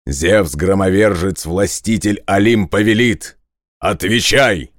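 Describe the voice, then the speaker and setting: Guy acting as a mighty storybook god, super deep voice, commanding, clear diction, dry recording. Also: no room sound. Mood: stern, menacing. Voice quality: very deep, resonant, clearly enunciated. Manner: weighty, commanding, deliberate. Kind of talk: audio fairy-tale character acting.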